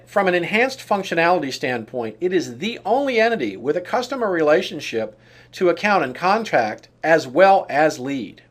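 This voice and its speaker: A man giving scripted instructional narration as a software trainer, in a measured, explanatory way that sets out lists item by item. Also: a little high, very animated.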